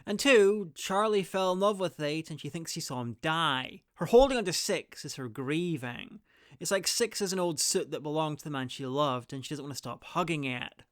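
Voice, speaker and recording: A man's voice: a little high, moderate pace, fairly bright tone, no room sound. A man presenting a scripted guide, narrating with dry, opinionated asides. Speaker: video presenter and reviewer.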